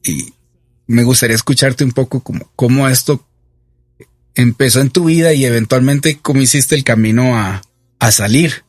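A man's voice: medium pitch, moderate pace, no echo, studio quality. A man, hosting a conversational religious interview. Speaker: interviewer, host.

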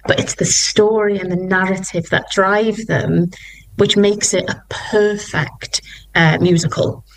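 A woman's voice: mid-range voice, fairly even intonation, measured pace, low-quality recording. A young woman, a musical theatre singer, talking enthusiastically in an interview and often restarting her sentences. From Wales. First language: Welsh.

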